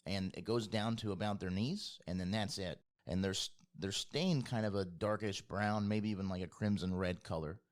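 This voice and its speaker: A man with a fairly low voice runs a tabletop role-playing game. He narrates scenes off the cuff, describing things in informal, everyday language. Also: moderate pace, hardly any pauses. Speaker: game master.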